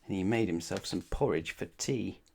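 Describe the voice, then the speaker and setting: Very animated man reading a children's story. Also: low voice, moderate pace, gravelly. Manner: expressive, dramatic emphasis. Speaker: storybook reader. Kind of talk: children's story read-aloud.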